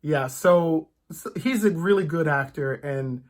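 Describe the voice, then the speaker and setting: A man chatting casually about films as a host, in an animated, rambling fan's way. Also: fairly high pitch, measured pace.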